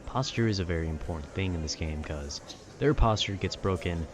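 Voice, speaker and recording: A man's voice: low-pitched, brisk, noisy background. A man rambling casually and conversationally about video games in a nerdy, meandering way. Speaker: content creator.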